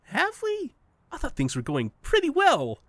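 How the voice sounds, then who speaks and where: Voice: high-pitched, wildly swooping pitch, unhurried, fairly bright tone, no echo, exaggerated voice. Teasing, swooping, eerie imaginary friend, played by an actor. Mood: amused, smug. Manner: teasing, sing-song, condescending, with amused chuckles. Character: playful, eerie imaginary best friend, unreal being, smug trickster. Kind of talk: audio fiction podcast acting.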